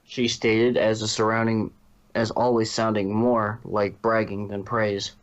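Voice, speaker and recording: A man's voice: lowish voice, flat delivery, a little slow, lo-fi sound. A man narrating a fan fiction story as a reader.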